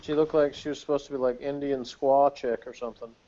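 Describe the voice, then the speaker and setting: A man's voice: mid-range voice, fairly even intonation, fast talker, dark tone, slight background noise. A man co-hosting a review show, chatting informally and bluntly. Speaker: podcast co-host reviewing TV episodes. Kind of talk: casual chat. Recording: dull, lo-fi recording.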